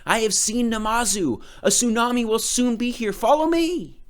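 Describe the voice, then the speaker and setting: A man's voice: very high voice, normal speed, voice pushed up. A man voicing an earnest, dreamy builder in a storybook read-aloud, speaking with wonder. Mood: urgent. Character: Yoshi, earnest, dreamy male boat and kite builder.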